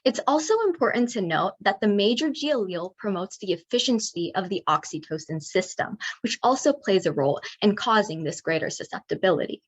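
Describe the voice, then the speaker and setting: A young woman from New Jersey reading a technical part of a student research proposal in a measured, precise way. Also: medium pitch, animated, husky. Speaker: student presenter. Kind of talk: read research presentation. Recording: dull lo-fi mic.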